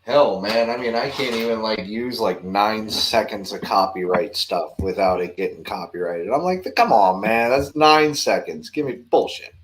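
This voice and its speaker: A man's voice: fairly low pitch, measured pace, fairly bright tone, a little hiss or hum. A man chatting casually on a livestream panel, loose and swearing, laughing easily.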